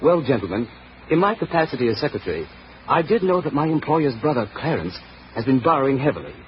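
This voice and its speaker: An actor playing a polite, uneasy young private secretary in a 1940s radio drama. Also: male voice, mid-range voice, very animated, lightly acted. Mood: uneasy, earnest. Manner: formal, guarded, quick. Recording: muffled, noisy old radio recording.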